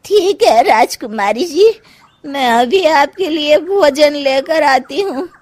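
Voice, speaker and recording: A woman's voice: sits high, expressive, slow pace, a little mellow. A woman voicing a harried older mother-in-law in a cartoon story, speaking in a scolding, exasperated, homely way. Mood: worried. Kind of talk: Hindi cartoon story voice acting.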